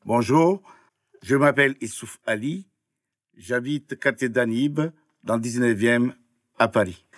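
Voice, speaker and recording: A man taking French classes, giving simple reportage lines and interview answers, often pausing with fillers as he searches for words. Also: mid-range voice, no echo, studio quality.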